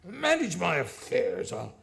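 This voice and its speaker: A man playing an old miserly banker in a radio play, sly and condescending, with a gravelly, drawn-out wheedle. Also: sits high, wildly swooping pitch, fairly bright tone, full character voice. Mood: sly, persuasive. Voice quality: gravelly, creaky. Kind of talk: stage-style radio play acting.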